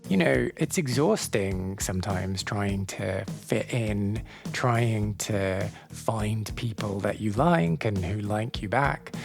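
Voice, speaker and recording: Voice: mid-range voice, lively intonation, slow pace, gravelly, hardly any pauses, music in the background. A podcast host opening the show with a scripted intro, then chatting casually, dropping wry self-deprecating asides.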